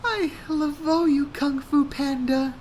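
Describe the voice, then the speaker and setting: A man voicing a dramatic, lovestruck teenage girl in a put-on falsetto for a fan-fiction parody. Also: fairly even intonation, slow pace, a little mellow, a little hiss or hum. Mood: lovestruck, dreamy.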